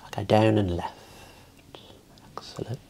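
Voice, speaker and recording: A man speaking softly, mostly in a whisper, calmly giving reassuring instructions as an optometrist in an ASMR eye exam roleplay. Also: on the low side, a little slow, vocal fry, slight background noise.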